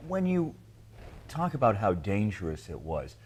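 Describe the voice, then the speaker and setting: A man's voice: fairly low pitch, huge pitch swings, a little slow, slightly rough. A man hosting a TV interview show, asking long thoughtful questions while searching for words. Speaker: TV show host.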